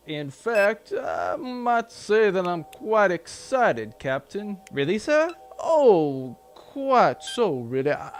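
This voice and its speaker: An actor playing a scheming nobleman villain in a comedic sci-fi audio drama, drawling with genteel, polite menace. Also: fairly high pitch.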